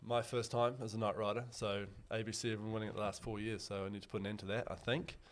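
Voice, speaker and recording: A man's voice: on the low side, flat delivery, quick pace. A young man, co-hosting a casual sports podcast in an upbeat, chatty way and laughing easily. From New Zealand. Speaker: podcast co-host.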